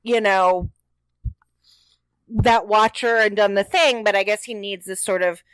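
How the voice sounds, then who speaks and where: A woman's voice: medium pitch, a little slow, a bit bright, clean tone, dry recording, rough recording. A woman co-hosting a podcast, chatting informally about a television show. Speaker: podcast co-host. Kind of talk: casual chat about a TV show.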